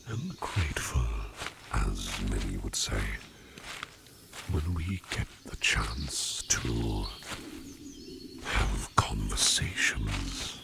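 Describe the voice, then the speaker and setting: A man voicing a gentle sleep demon in human disguise, speaking formally and haltingly in a breathy near-whisper for an ASMR roleplay. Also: rumbling low, heavily acted. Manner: slow, halting, formal phrasing. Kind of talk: ASMR roleplay, personal attention. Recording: noisy, outdoor nature sounds behind.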